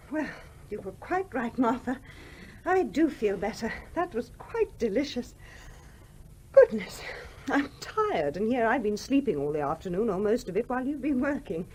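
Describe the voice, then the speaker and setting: An actress playing a small, genteel, determined English widow in a radio play, speaking in a polite, proper manner. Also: female voice, on the high side, big pitch swings, moderate pace, a little mellow, husky. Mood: warm, insistent. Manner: polite, well-spoken, proper. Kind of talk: 1970s radio play acting. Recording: noisy old radio drama recording.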